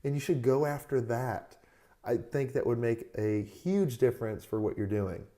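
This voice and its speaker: A man hosting a solo advice podcast, his voice still hoarse as it recovers, coaching listeners in an upbeat, direct way. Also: medium pitch, moderate pace, warm tone. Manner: upbeat motivational coaching, talks straight to listeners. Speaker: podcast host.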